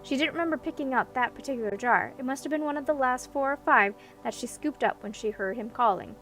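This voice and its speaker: High-voiced woman narrating a story. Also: quick pace, a bit bright, faint music behind. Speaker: audiobook narrator.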